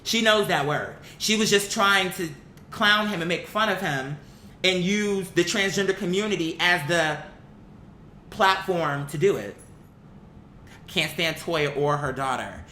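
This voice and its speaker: Bright-voiced blunt young commentator, echoey room. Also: measured pace. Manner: blunt, exasperated, sweary, repeats for emphasis. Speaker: online commentator. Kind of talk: casual opinion rant, reading out comments. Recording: echoey room with faint rumbling noise.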